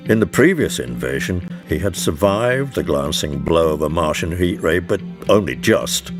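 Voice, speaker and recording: Deep-voiced man narrating, lively intonation. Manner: measured, formal period storytelling. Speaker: first-person narrator. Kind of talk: dramatic scripted audiobook narration. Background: music under the narration.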